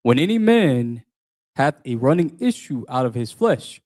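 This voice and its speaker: A man reading old scripture in a steady, formal manner. His tone is mellow and warm. He sounds distant from the microphone. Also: medium pitch, measured pace. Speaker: scripture reader.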